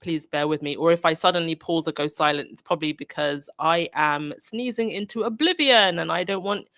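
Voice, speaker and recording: A woman's voice: deep voice, brisk, fairly bright tone, dry recording, clean studio sound. Snuffly woman hosting a podcast. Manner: chatty, reads out viewer comments. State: congested with a cold. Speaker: podcast host. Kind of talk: casual live-stream commentary.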